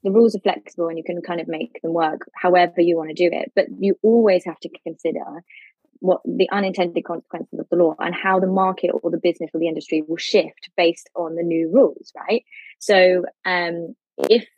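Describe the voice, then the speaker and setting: A woman's voice: lowish voice, steady tone, brisk, darkish tone, breathy edge, no room sound, dull audio. A woman speaking as a legal expert guest, explaining things informally.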